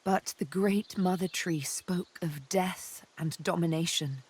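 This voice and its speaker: A woman's voice: fairly low pitch, takes it slowly, darkish tone, breathy edge. A woman playing a cool, sardonic, vengeful elf assassin in a fantasy game, poised, wry and intimate. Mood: troubled, bitter. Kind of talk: fantasy video game acting.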